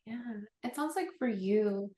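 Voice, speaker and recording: Woman interviewer, measured and polite, mid-range voice, echoey room. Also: mid-range voice, expressive, warm tone. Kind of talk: hosting an interview.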